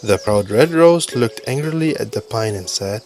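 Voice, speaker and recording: Low-voiced male storybook narrator over music. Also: animated, normal speed, a little mellow. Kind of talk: scripted children's story narration. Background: music with birdsong.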